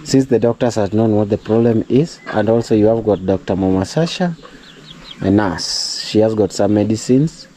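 Kenyan man vlogging outdoors, mellow voice. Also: lowish voice, moderate pace, slight background noise, rough recording. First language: not English. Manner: chatty, preachy, addresses viewers as guys. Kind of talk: casual vlog talk to camera. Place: outdoors at a rural home.

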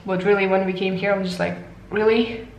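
Young woman vlogging to her camera, not a native speaker, kinda hoarse, echoey tiled bathroom with faint room hum. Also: mid-range voice, fairly even intonation, fairly quick. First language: not English. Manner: chatty, laughs often, non-native phrasing. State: losing her voice. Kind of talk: casual vlog chat. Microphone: handheld camera at arm's length.

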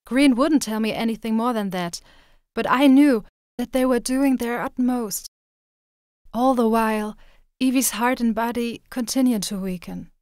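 A woman's voice: on the high side, unhurried, a little mellow, no echo, clean studio sound, close to an everyday voice. A woman voicing an earnest, caring young girl trainer with an emotional, pleading manner, in dramatic anime style. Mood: somber.

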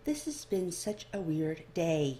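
A woman's voice: lowish voice, big pitch swings, takes it slowly, dark tone, a little hiss or hum. A woman voicing a guarded female linguist in a story, clipped and politely dry, feigning meekness. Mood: wary.

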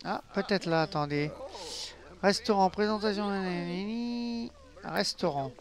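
A man's voice: high voice, unhurried, background noise. Joking man narrating a life-sim game. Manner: joking, swears and mutters to the game. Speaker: gaming youtuber. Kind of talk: casual let's play commentary. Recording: voice over game audio and music.

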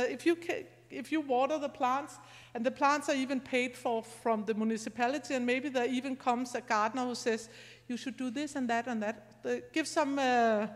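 High-voiced woman lecturing in a hall. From Scandinavia. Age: middle-aged. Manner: halting, conversational, explanatory. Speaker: university lecturer. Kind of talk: informal academic conference talk. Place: large lecture room, indoors. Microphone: handheld microphone.

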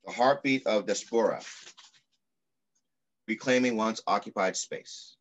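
A middle-aged man reading aloud in the style of a children's picture book, with measured, deliberate phrasing. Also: lowish voice. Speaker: pediatrician reading a story aloud. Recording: muffled video call audio.

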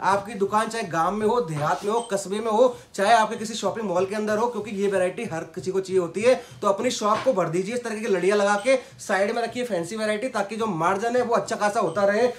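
A man in his thirties giving a brisk sales pitch in a crowded indoor toy shop, reeling off prices while showing products to a nearby phone camera. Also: slight background noise. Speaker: wholesale shop seller.

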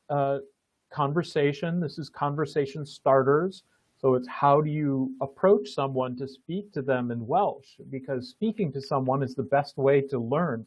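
Man presenting slides on a video call, halting delivery with lots of "uh"s and restarts. Middle pitch, dry and a bit dull in tone. Call audio. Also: no room sound. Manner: halting, many fillers and restarts. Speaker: meeting host presenting a program. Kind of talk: online planning meeting presentation.

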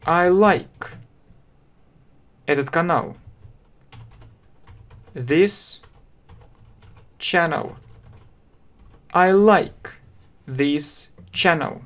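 A man in his thirties with a Russian accent, teaching a language lesson very slowly, spelling out phrases word by word and repeating them at a desk webcam. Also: fairly high pitch. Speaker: English teacher. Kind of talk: slow step-by-step language lesson. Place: small room indoors.

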